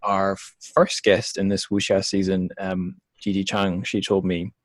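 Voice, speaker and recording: A man from Scotland with a notably low voice hosts a casual podcast interview. He feels his way through sentences, rephrasing as he goes. The sound is dry, with very little room in it. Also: level tone, a little slow, dry recording. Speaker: podcast host.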